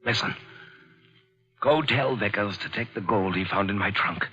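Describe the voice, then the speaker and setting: A man's voice: lowish voice, moderate pace. An actor playing an accused American professor in a 1940s radio drama, pleading and then elated as he solves the case. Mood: urgent. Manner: bewildered pleading, bursting into excited shouts. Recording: dull old radio broadcast with music.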